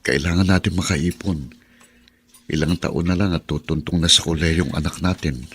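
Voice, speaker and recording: An actor playing an earnest, hardworking husband and driver in a radio drama. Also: male voice, low-pitched, steady tone, normal speed, husky. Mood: reassuring. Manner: earnest, reassuring.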